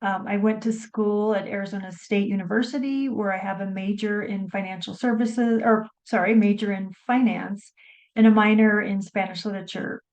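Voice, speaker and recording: A woman introducing herself on a panel in a measured, formal way, with small fillers. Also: mid-range voice, fairly even intonation, a little mellow, lo-fi sound. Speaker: panel moderator.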